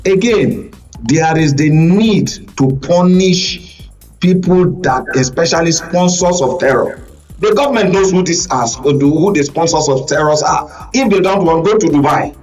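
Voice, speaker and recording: Nigerian man on a panel, higher voice, really driving his points home, sounds away from the mic. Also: expressive, a little slow, a little mellow. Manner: emphatic, repeats phrases for stress. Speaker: guest media analyst. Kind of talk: opinionated panel commentary. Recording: distant microphone, faint music behind.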